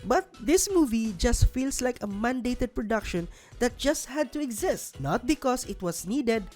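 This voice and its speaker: A woman's voice: a little high, animated, unhurried, darkish tone, soft background music. A woman hosting a scripted countdown video, narrating and giving commentary. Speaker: host of a video list show.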